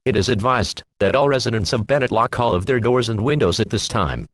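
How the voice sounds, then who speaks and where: A man's voice: mid-range voice, a little fast, no echo. Formal male emergency alert announcer, dry sound. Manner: flat, official, impersonal. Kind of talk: formal scripted emergency bulletin.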